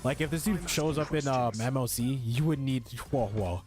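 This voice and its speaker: A man's voice: medium pitch, normal speed, over music. A man giving casual, off-the-cuff commentary as he streams a video game.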